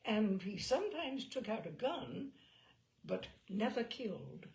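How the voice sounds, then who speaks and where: A woman reading a classic novel aloud as an audiobook narrator, in an expressive storytelling style. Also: medium pitch, measured pace, vocal fry.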